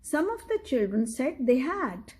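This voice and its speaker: A woman reading a children's story aloud in a deliberate, instructive manner, talking directly to the listener. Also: high-pitched, very animated, a little mellow.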